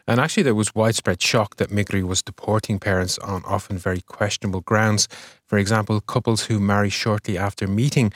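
Male podcast host, low voice, dry studio sound, measured scripted delivery. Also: fairly even intonation, dry recording. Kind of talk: scripted news review.

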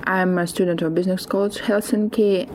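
A young woman student speaking earnestly and in a measured way in a recorded interview clip. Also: fairly low pitch, fairly even intonation, a little mellow. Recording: field clip with background noise.

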